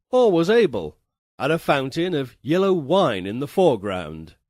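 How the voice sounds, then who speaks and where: A man reading a literary fantasy tale as dramatic narration, with a theatrical, deliberate and wryly formal delivery. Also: fairly high pitch, a little mellow, no echo, clean studio sound. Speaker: audiobook reader.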